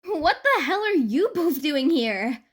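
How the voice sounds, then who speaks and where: Woman acting a high, expressive young female student. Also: slow pace, heavily acted. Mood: indignant. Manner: expressive, indignant, bantering. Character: sociable, easily exasperated young woman college student.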